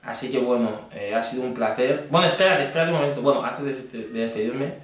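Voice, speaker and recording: A man's voice: medium pitch, fast. A young adult man chatting casually on prank phone calls as a fitness trainer. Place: indoor green screen studio. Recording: very echoey room, distant mic.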